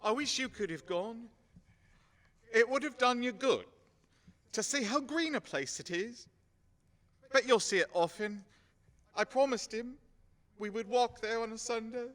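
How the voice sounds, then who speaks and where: A man playing a gentle, grieving father in a radio play, speaking tenderly and earnestly with some halting. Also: very high-pitched, lively intonation, full character voice. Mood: subdued, tender. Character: gentle, grieving, loving working-class father. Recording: long hall reverb.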